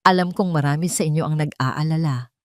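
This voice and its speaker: A determined woman executive from an audio drama, put on by a female narrator. She speaks firmly and rousingly to her people, in a slightly low voice with a close, dry sound. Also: fairly quick, a little mellow, no room sound, lightly acted. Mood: grave, candid. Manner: firm, impassioned, rousing. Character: determined, brave woman boss rallying her fearful workers.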